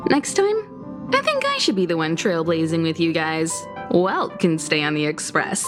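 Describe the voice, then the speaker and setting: Poised, expressive navigator. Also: female voice, low-pitched, unhurried. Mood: playful. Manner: graceful, articulate. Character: poised, worldly female train navigator. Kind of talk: video game voice acting. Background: game music.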